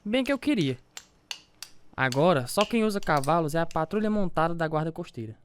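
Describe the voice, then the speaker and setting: A man's voice: fairly high pitch, animated, brisk, slight background noise, natural voice. A male actor with a Brazilian accent playing an earnest, devout boxer and young father in a reflective radio drama, telling his own story. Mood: wistful. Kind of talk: radio drama acting with first-person narration. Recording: guitar music under the voice.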